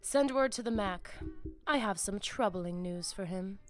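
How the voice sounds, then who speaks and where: An actress voicing a cunning, imperious vampire plotter in a video game, solemn and grandiose. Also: female voice, medium pitch, a little slow, over music, lightly acted. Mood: ominous. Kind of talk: dramatic video game voice acting.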